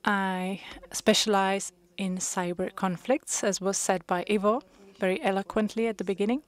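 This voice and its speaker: A woman interpreting a panel discussion into English, measured and pausing with frequent hesitations. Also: medium pitch, steady tone. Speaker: conference interpreter.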